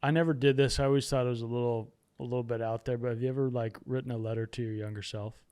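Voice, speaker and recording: A man's voice: mid-range voice, fairly even intonation, fast, darkish tone, clear, resonant tone. A man who writes and hosts his own podcast, speaking as a guest and talking candidly about himself with self-deprecating humor. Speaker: podcast guest, author and podcast host. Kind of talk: reflective casual conversation.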